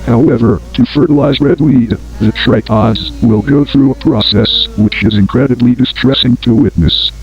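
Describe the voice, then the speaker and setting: A man playing a grave announcer on an emergency survival tape in an analog horror drama, formal, measured and deadpan. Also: medium pitch, lightly acted. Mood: grave, detached. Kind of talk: scripted instructional tape narration, analog horror. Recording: dull lo-fi old tape, music behind.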